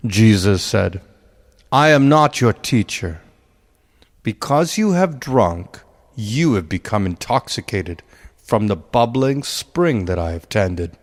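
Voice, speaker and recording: A man reads scripture solemnly, with very slow, deliberate and dramatic phrasing. His voice has a heavy creak and gravel to it. It rings out in a long, cavernous reverb. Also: lowish voice, lively intonation. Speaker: reader of a spiritual text.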